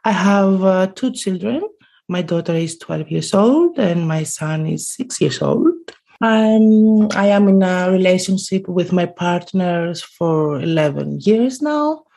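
Creaky-voiced Greek woman, unhurried. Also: lowish voice, lively intonation, dark tone. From Greece. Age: early 40s. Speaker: podcast guest. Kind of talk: casual conversational self-introduction.

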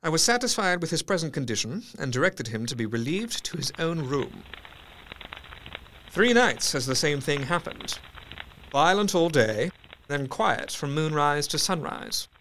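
Intent Victorian doctor, highish voice. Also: male voice, big pitch swings, unhurried, fairly bright tone, exaggerated voice, poor audio. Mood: intrigued, clinical. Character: male Victorian asylum doctor dictating case notes, studious. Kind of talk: audio drama diary narration.

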